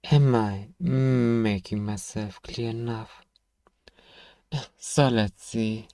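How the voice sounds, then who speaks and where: Male actor as sardonic fantasy shopkeeper, exasperated and stern, low-lit soft timbre, very dry close sound, for ASMR roleplay. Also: no echo, close to an everyday voice. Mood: angry, threatening, then businesslike. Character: irritable, sarcastic, exasperated fantasy apothecary shopkeeper, grudgingly caring.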